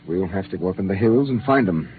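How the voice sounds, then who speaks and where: Humane commanding space captain, low voice, old radio play, thin sound with faint hiss. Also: male voice, expressive, fast talker, narrow, tinny audio. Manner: authoritative, clipped orders. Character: stern, humane middle-aged spaceship captain. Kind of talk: 1950s radio drama acting.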